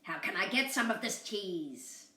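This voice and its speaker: A woman's voice: mid-range voice, expressive, bright, forceful tone. A woman voicing a hungry, menacing but gullible coyote in a storybook, with a growling delivery. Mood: curious. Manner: growling, slow and drawn-out. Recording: roomy, echoey, far from mic.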